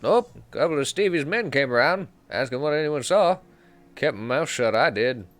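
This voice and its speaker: A man voicing a wary old man in a slum who gossips in a folksy, gruff way. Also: fairly high pitch, animated, normal speed, a bit bright.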